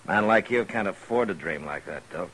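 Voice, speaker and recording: A stern, unbending marshal from an old radio western. The voice is very low and hard-edged. A light hiss of old recording runs beneath it. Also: male voice, lively intonation, moderate pace, fairly bright tone. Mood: stern, cold.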